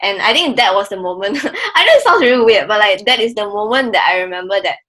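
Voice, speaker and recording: A woman's voice: medium pitch, expressive, fast, pushed and bright. A woman in her twenties with a Malaysian accent, chatting casually on a podcast with lots of filler words. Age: 20s. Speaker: podcast co-host.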